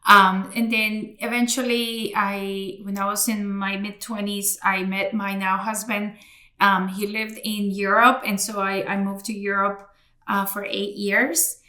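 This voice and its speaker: A bright, clear-toned woman in her forties, from Colombia, speaking with an American accent. She talks in a loose, chatty flow with plenty of fillers and restarts, laughing easily. The sound bounces around an echoey room. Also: medium pitch, flat delivery, unhurried. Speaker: guest. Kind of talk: casual interview chat.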